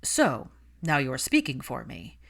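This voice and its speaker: A woman's voice: very deep voice, huge pitch swings, takes it slowly, vocal fry, voice pushed down, exaggerated voice. A woman voicing a cold, irritated, posh young man in an audiobook, with a dry, clipped drawl. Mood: dry disdain. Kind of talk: audiobook character dialogue.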